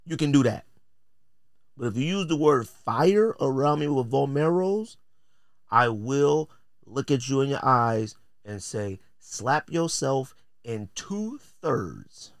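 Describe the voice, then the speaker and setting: A man's voice: medium pitch, lively intonation, takes it slowly, dry recording, clean studio sound. An American man hosting a podcast with casual, opinionated commentary, talking in slang with a blunt, joking, theatrical delivery. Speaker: podcast host.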